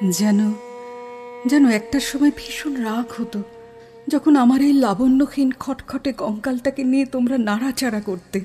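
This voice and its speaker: Woman acting a slow, high-voiced melancholy ghost woman. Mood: wistful, sorrowful. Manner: theatrical, lingering, slipping into hushed whispers. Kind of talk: Bengali audio horror drama, dramatic storytelling. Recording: music under the voice. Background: dramatic background music.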